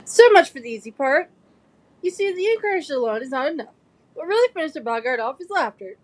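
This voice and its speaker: Woman playing a lively, high-voiced kindly professor. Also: fast. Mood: instructive. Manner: courteous, instructive. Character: kindly, encouraging male defence professor. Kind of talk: fan audio drama acting.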